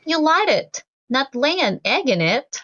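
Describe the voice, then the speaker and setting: A woman voicing a cheerful, practical storybook mother in an encouraging, gently teasing way. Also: high voice, big pitch swings, measured pace, highs cut off, full character voice.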